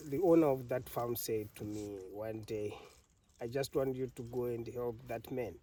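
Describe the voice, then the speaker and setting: A middle-aged man with a Zimbabwean accent, an elephant carer telling his story in an interview in a halting way, laughing often. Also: mid-range voice, warm tone, recorded from a distance.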